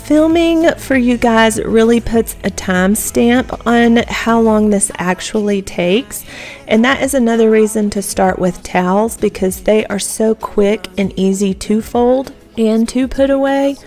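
A woman's voice: on the high side, unhurried, darkish tone, soft background music. A woman, chatting through household tips as a home vlogger, encouraging and talking straight to her viewers. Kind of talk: casual voice-over tips.

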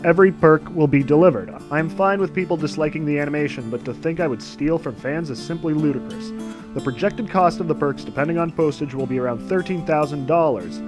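A man's voice: a little high, a little fast, music in the background. A man giving a sarcastic commentary as a video essay host, dry and mocking.

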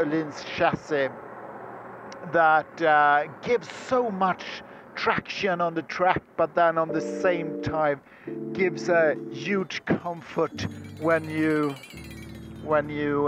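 Excited man talking while driving. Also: fairly high pitch, noisy background. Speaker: car vlogger. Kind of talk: casual in-car commentary. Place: inside a sports car on a racetrack. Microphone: headset mic.

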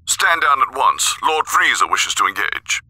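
Game villain's henchman: commanding, menacing, very forceful voice with lively swings, dry sound. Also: medium pitch, measured pace, no room sound, heavily acted, poor audio. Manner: commanding, threatening. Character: curt, menacing male alien henchman of a tyrant. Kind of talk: English game dub acting.

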